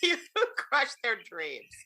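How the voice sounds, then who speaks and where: A woman's voice: fairly high pitch, wildly swooping pitch, slow pace, bright, forceful tone. A woman hosting a podcast, chatting casually and teasing her guest, laughing often. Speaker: podcast host. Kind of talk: casual chat.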